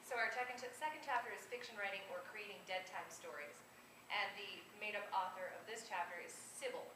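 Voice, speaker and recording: A woman's voice: medium pitch, a little fast. A woman author reading humorous prose aloud at a live event. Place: convention room, live event. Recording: echoey, noisy room from far off.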